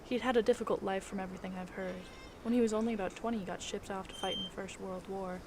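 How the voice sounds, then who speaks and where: A woman's voice: mid-range voice, steady tone, quick pace, background noise, natural voice. A woman playing a concerned grown daughter with an earnest, conversational manner in an audio drama. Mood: reflective. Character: concerned grown daughter recalling her late father. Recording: clear background ambience.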